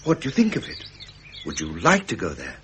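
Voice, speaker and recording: An actor playing a young, tender, self-doubting prince in a 1970s British radio drama, with an upper-class English accent. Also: male voice, sits low, huge pitch swings, brisk, a bit bright, slight background noise, heavily acted. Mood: tender, earnest.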